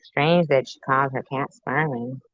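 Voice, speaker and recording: A woman's voice: rumbling low, steady tone, normal speed, no room sound, muffled recording. A woman doing sarcastic reaction commentary on a YouTube video, with mocking asides.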